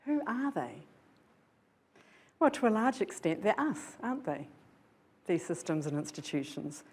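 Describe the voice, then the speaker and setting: An older woman from New Zealand giving an earnest, reflective public lecture, often posing rhetorical questions to her audience. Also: lowish voice. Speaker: guest lecturer. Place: lecture hall.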